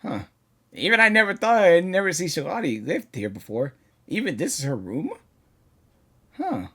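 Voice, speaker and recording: A woman's voice: on the low side, big pitch swings, normal speed, a bit bright, slightly rough. A woman streamer giving casual live reactions as she plays a game. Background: game music.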